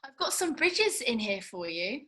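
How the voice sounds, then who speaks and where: A woman playing a bright, lively, blunt young woman. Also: a little high, a little slow, full character voice. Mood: teasing. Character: blunt, cheeky young woman in Regency costume. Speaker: actor in a script read-through. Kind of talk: table read of a comedy screenplay. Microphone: video call.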